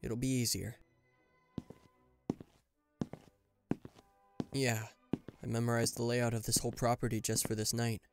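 A man's voice: mid-range voice, level tone, fairly quick, music in the background, close to an everyday voice. A man playing a guarded government bodyguard in an intimate listener roleplay, dryly teasing and reluctantly tender. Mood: calm, protective. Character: gruff, guarded male government bodyguard, secretly fond.

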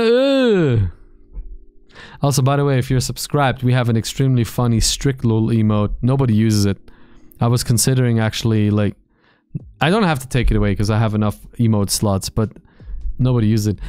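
A man in his thirties streaming from a small gaming room into a boom mic, chatting casually with his viewers, joking and swearing freely. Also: fairly even intonation, fast talker, a little mellow, clear, resonant tone, no echo, soft background music. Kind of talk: casual chat reading live chat. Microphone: studio mic on boom arm, headphones.

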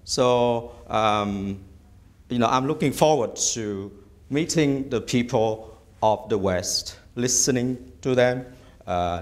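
A middle-aged man from Vietnam whose first language is Vietnamese, a bishop-elect giving measured interview answers in a small office, hesitating often with "uh". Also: medium pitch. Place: small office room indoors.

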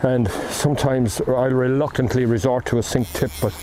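A man's voice: mid-range voice, normal speed. An older man with an Irish accent, chatting casually about fly fishing while standing outdoors by a river, recorded by a camera on the bank. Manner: relaxed, explaining, with ums and asides. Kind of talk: casual outdoor how-to talk. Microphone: camera on the bank, speaker at a distance. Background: flowing river and wind.

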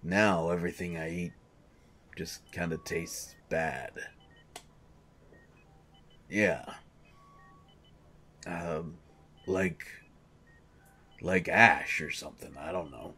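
A man's voice: sits low, fairly even intonation, extremely slow, pauses often, sharp tone, husky, soft background music, heavily acted. A man playing a grumpy, irritable coach who grumbles and complains defensively in an audio drama. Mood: uneasy. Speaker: voice actor.

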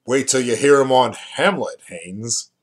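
A man's voice: medium pitch, a little slow, lightly acted. A man reading a novel aloud as a brash, theatrical young man, bombastic and jeering. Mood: boisterous, mocking.

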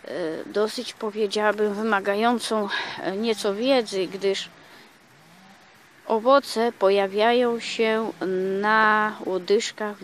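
A woman giving a casual explanatory talk for her gardening vlog, pausing often with filler sounds, recorded outdoors on a camera mic. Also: mid-range voice, a little hiss or hum. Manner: hesitant, many filler sounds. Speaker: gardening vlogger.

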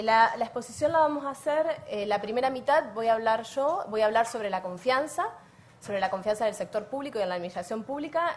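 A woman from Argentina with an Argentine accent giving a structured conference lecture, wry and clearly organized. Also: a little high. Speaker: academic lecturer.

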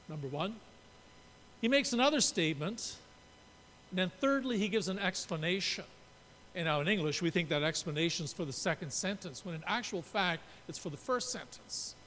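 A man in his sixties from Canada, preaching a sermon from a pulpit and explaining his points while gesturing. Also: fairly bright tone. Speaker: pastor. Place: church sanctuary. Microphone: pulpit mic. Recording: reverberant church room, faint rumble.

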